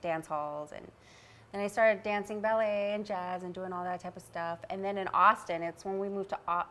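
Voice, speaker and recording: A woman with a bright, forward voice at a middle pitch, guest on a talk show. She tells her story in an animated, casual way, often breaking into laughter. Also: normal speed. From Midwest US, raised in Texas. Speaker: guest, actress. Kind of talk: casual interview chat.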